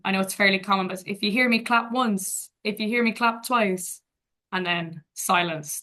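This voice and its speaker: Brief Young Irish woman, teacher guest, bright tone, relaxed and reflective. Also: mid-range voice. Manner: casual, thoughtful, friendly. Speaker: guest, English teacher in Vietnam. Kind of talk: webinar answers, casual.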